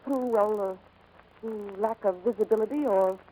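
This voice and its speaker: A woman's voice: medium pitch, a little slow, warm tone, a little hiss or hum, natural voice, poor audio. A woman acting a proud, guarded American aviator in dramatic, emotionally intense 1940s radio style. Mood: anxious, hesitant.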